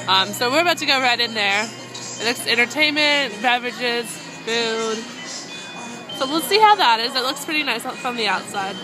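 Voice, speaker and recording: A woman's voice: fairly high pitch, poor audio. A young woman chatting casually as she walks through a theme park, filming on a handheld phone and rambling with lots of ums. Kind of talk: casual walking tour commentary. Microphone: handheld phone camera at arm's length.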